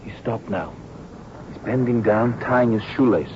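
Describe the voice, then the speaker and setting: Brief: male police sergeant sidekick played by an actor, earnest, observant, mid-pitched, muffled vintage radio with clear street noise. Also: a little slow, natural voice. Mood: watchful. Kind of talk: radio drama acting. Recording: dull old radio, noisy street effects.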